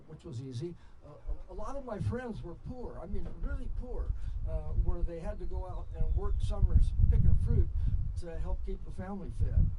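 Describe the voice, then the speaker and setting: An older American man telling stories live, rambling and halting, with impassioned digressions and salty language. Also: high-pitched, phone-quality sound. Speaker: storyteller and speaker at a festival. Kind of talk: rambling live storytelling to an audience. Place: live storytelling session at a festival. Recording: noisy live event ambience.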